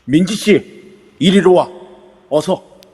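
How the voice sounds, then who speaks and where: A man's voice: sits high, slow pace, a little mellow, slightly rough, exaggerated voice. A man playing an urgent ally who wields talismans and pleads for help. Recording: long echoey reverb.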